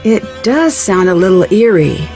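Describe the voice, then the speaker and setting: A woman playing an inquisitive, earnest young detective in a video game, speaking politely and clearly. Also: medium pitch, big pitch swings, a little slow, mellow, faint music behind. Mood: curious.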